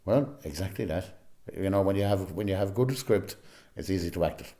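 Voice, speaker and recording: Low, mellow Irish man chatting. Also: expressive, brisk. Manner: chatty, frequent "you know". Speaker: amateur actor, interviewee. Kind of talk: casual interview chat.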